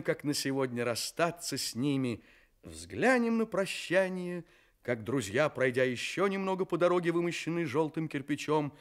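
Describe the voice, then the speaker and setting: A man narrating a children's radio play, confiding in his young listeners as a storyteller. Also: medium pitch.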